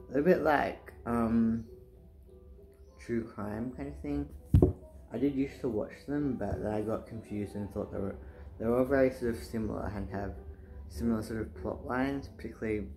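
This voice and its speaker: A soft-spoken young man rambling casually in his living room, with many fillers and restarts. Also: on the low side. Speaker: booktuber. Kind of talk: casual chat. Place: living room, indoors, sitting on couch.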